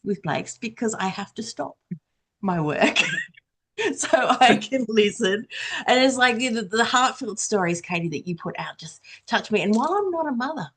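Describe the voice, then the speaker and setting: A woman podcaster chatting casually on a panel, enthusiastic and quick to giggle as she talks. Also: on the high side, animated, fairly bright tone, breathy edge. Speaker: audio drama podcaster, former radio worker.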